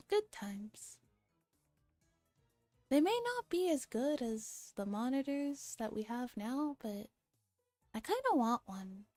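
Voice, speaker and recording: A woman streamer with a soft, breathy, often whispering voice, reading a story and chatting casually in a relaxed ASMR style. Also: a little high, no echo. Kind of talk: ASMR visual novel read-aloud with casual chat.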